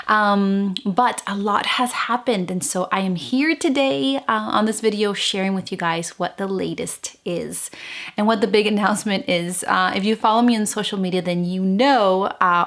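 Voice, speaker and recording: A woman's voice: mid-range voice, creaky, a bit bright. Woman from Venezuela, thirties, casual vlog chat, chatty, lots of fillers and restarts. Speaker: vlogger.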